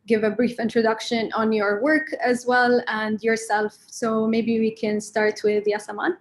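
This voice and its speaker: A woman's voice: a little high, little pitch movement, a little slow. A woman moderating an online panel discussion, polite and using fillers.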